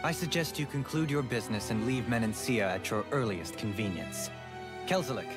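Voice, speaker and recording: An actor playing an aloof, composed lord in a video game, speaking in formal, measured, aristocratic diction. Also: male voice, medium pitch, animated, close to an everyday voice. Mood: cold, stern. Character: aloof, composed male lord of a realm, haughty and cold. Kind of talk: dramatic video game cutscene acting. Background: music.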